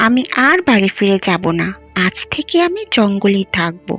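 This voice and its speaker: A woman's voice: mid-range voice, very animated, normal speed, music in the background, pitched below the performer's own voice, close to an everyday voice. A woman voicing a sad, lonely young village girl in a cartoon, plaintive in tone.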